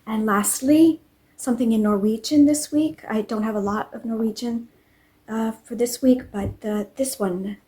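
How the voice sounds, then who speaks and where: A woman narrating a cozy home vlog in a gentle, unhurried way. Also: a little high. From English-speaking country. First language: English. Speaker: vlogger. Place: home in Norway.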